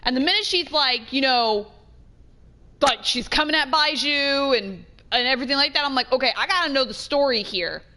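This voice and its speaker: Bright and high, a woman talks over faint game music as a let's play host. Her commentary is casual and excitable, often doubling up phrases for emphasis. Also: normal speed. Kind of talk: casual gameplay reaction commentary.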